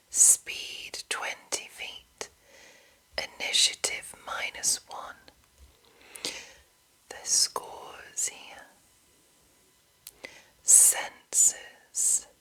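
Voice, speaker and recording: A woman whispering softly and deliberately in an ASMR style, reading aloud close to the microphone with a breathy, unvoiced voice. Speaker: ASMR host reading a monster manual.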